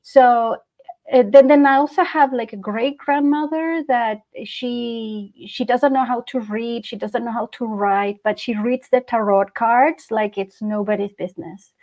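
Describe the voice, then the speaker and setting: A woman's voice: a little high, steady tone, measured pace, darkish tone, poor audio. A woman in her forties from Chile, a Spanish speaker, chatting casually as an interview guest. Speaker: interview guest, hypnotherapist and channeler. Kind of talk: casual personal storytelling.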